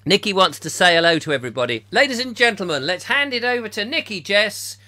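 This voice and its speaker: Apresentador britânico animado e teatral. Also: male voice, high-pitched, sing-song, fast, sharp tone, no room sound. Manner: playful, theatrical, slips into silly voices. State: slightly hoarse. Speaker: comedy chat show host. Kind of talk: live comic ranting chat.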